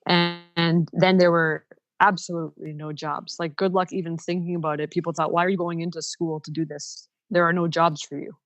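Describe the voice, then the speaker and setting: Candid woman, low voice, dull lo-fi sound. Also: level tone, fairly quick, dry recording. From Canada. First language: Ukrainian. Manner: frank and earnest, acts out quoted people. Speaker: podcast guest. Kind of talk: candid personal storytelling.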